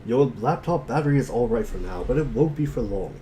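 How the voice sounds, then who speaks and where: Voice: mid-range voice, animated, fairly quick, mellow, a little hiss or hum. A narrator reading a second-person story aloud in a dramatic reading.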